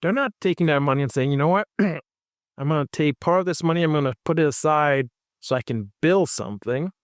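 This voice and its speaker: A man's voice: fairly high pitch, brisk, no room sound, clean studio sound. A man from Haiti on a roundtable panel, talking emphatically and repeating his points for stress. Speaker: physician panelist. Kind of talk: roundtable discussion.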